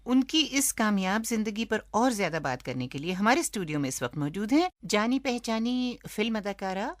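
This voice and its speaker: A woman with an Indian accent hosts a radio programme from a script. Her pitch moves in a lively, animated way, and the sound is dry and close. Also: normal speed, no echo. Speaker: radio show host.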